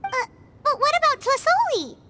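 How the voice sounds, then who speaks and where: A woman voicing a tiny, excitable, childlike fairy companion in a video game, with a chirpy, earnest, squeaky delivery. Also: moderate pace, very noisy. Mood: curious, chatty. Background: music.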